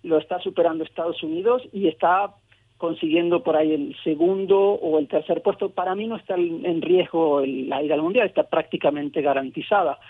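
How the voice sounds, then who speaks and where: A sociologist born in Mexico and raised in Argentina, talking as a guest in a radio phone interview, thoughtful and hesitant with many fillers. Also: male voice, a little high, no echo. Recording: phone line.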